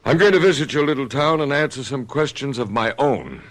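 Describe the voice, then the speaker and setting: A calm, inquisitive doctor, played by an actor in an old radio mystery drama. His speech is measured and reassuring, with a pitch that rises and falls in lively swings. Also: natural voice. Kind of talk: 1970s radio drama acting.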